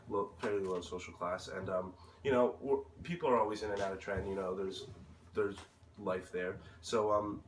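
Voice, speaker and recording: Low-voiced young American man, hesitant, in a roomy space. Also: a bit bright. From United States. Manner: hesitant, halting, searching for words. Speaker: interviewee. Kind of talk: informal interview answers. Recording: roomy, distant mic, faint noise.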